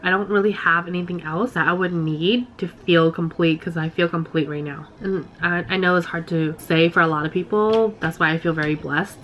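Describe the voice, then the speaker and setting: Woman, twenties, nasal from a cold, lower voice, chatty vlog answers, faint room hum. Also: fairly even intonation, moderate pace, hardly any pauses. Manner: reads each question number brightly, then answers chattily. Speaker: beauty vlogger. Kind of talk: casual question-and-answer vlog. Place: her bedroom.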